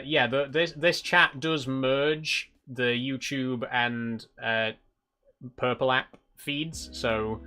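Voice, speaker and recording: A British man with a medium-pitched, bright, forward voice talks casually to his livestream audience. He is chatty, polite and appreciative. Guitar music plays softly behind him. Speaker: live streamer. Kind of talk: casual livestream chat with viewers. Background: background guitar music.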